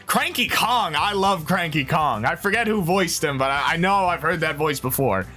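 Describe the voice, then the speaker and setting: A young man rambling through a casual movie review, animated and quick to interrupt himself. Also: on the high side, soft background music.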